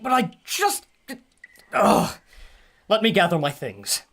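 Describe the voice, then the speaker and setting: A man acting an earnest scholar-adventurer, fairly high pitch, polite, excitable, bookish, audio drama. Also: takes it slowly, exaggerated voice. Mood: reluctant. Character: earnest, scholarly, cautious archaeologist.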